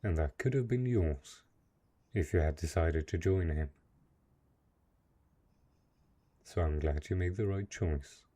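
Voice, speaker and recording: Brooding dark knight, played by a male actor: deep, mellow, measured, lonely and possessive, for fantasy roleplay audio. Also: slightly rough. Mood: grimly detached. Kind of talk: dramatic roleplay audio monologue.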